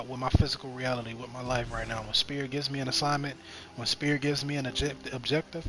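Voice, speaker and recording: A man's voice: mid-range voice, sharp tone. Rambling young man, spiritual gaming talk. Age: 20s. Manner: rambling, repeats phrases, many "you know what I mean" fillers. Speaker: streamer. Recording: noticeable background noise.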